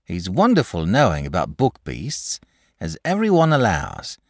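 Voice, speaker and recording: A man's voice: low-pitched, huge pitch swings, normal speed, darkish tone, dry recording, studio quality, exaggerated voice. A man voicing a sensible, kindly country mother in a storybook, reasoning soothingly in homely rural dialect. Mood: gentle, sympathetic. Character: sensible, kindly rustic mother, shepherd's wife. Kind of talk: storybook character voice.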